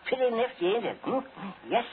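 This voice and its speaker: An eager, courteous old man selling his wares in a 1940s radio play. His folksy, rambling voice sits high and swoops around. The recording is scratchy and narrow, with faint noise. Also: very animated, fairly quick. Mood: eager, persistent. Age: older. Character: eccentric, eager, polite old coffin salesman.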